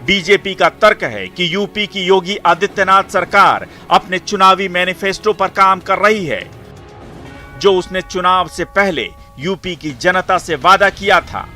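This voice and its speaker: A man's voice: high voice, a little slow, bright, forceful tone, faint music behind. A man reading a scripted news report as the reporter.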